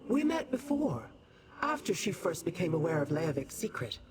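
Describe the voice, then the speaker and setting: A haughty Dremora demoness from a fantasy video game. She sounds amused and condescending, drawing out words with theatrical relish as her pitch swoops widely. Crowd-like sound sits behind her. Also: sing-song. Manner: haughty, teasing, deliberate theatrical delivery. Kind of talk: video game character acting.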